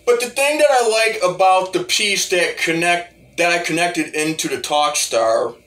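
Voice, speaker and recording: A man giving a casual, rambling demo of music gear, halting and repeating his phrases as he goes. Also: high-pitched, lively intonation, a bit bright. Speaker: hobbyist musician reviewing talk box gear.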